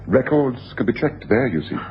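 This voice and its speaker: A man's voice: mid-range voice, big pitch swings, normal speed, lightly acted. A man acts a self-assured detective with a theatrical, domineering delivery. His voice swings widely as he makes his points, in a dull, noisy old radio broadcast. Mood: triumphant, incisive. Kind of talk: 1980s radio drama acting.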